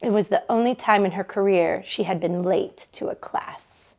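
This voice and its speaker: A woman reading a novel aloud in an expressive storytelling style. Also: medium pitch, normal speed. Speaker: bookshop read-along reader.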